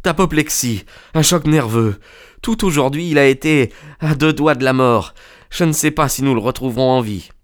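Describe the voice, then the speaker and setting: A man voicing a distraught young gentleman in an audiobook story, agitated and emphatic. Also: medium pitch, moderate pace, pitched above the performer's own voice, close to an everyday voice. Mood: grave distress.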